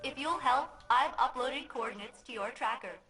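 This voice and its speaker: A woman's voice: high-pitched, moderate pace, pushed and bright, poor audio. An actress playing a grave woman guide in a sci-fi shooter game, asking for help. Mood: grave, urgent. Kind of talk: video game voice acting.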